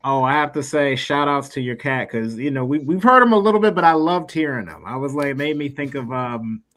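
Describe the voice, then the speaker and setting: A man with a mid-range voice hosts a livestream in a casual, chatty style. He rambles along encouragingly, often pausing to search for the right word. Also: medium pitch, lively intonation, a bit bright. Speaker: livestream show host. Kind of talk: casual livestream interview chat.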